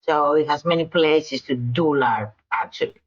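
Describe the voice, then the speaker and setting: A woman's voice: low-pitched, normal speed, dull audio. A woman introducing herself as a player, chatty and eager. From Greece. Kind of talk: casual self-introduction.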